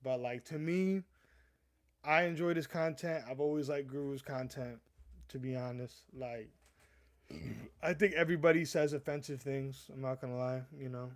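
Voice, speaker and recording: A man's voice: mid-range voice, normal speed. A young man from the US East Coast hosting a casual livestream, rambling with frequent stammers and restarts and calling viewers "bro". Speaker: livestream host. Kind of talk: casual live chat.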